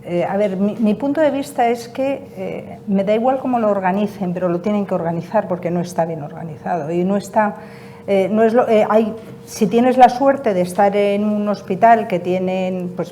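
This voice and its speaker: A woman's voice: medium pitch, dark tone, slight background noise. A woman representing a patients' association, answering interview questions emphatically and repeating her key points. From Spain.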